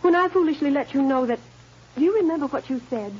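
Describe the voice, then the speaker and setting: A woman's voice: sits high, animated, a little fast, darkish tone. An actress playing a worried, earnest young woman pleading with her partner in a 1930s radio drama. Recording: muffled 1930s radio recording with faint hiss.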